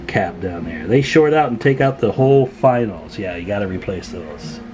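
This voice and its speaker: A man's voice: on the low side, lively intonation, normal speed. A man talking in a folksy, chatty way as he gives a casual hands-on repair demonstration at an indoor workbench. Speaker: radio repair technician and video host.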